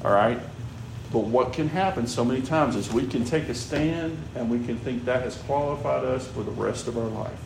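Gravelly-voiced preacher in an echoey room. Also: male voice, medium pitch, moderate pace. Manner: conversational, repeats "all right?" and "you know". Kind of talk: sermon. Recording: echoey room with background noise.

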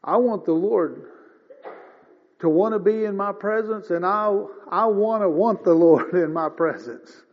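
Slow-preaching man in his fifties, high voice. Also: expressive. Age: 50s. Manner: folksy, conversational with throat clearing. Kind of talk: sermon. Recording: dull lo-fi sound with long reverb.

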